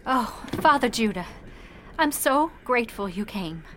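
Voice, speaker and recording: An actress playing a rattled, grateful expectant wife with a Southern US accent, fretful and folksy, in old-time radio drama style. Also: female voice, a little high, lively intonation, measured pace, very noisy.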